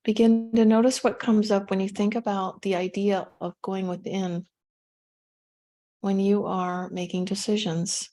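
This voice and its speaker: A woman leading a guided meditation, giving gentle, unhurried instructions with pauses between phrases. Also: mid-range voice, flat delivery.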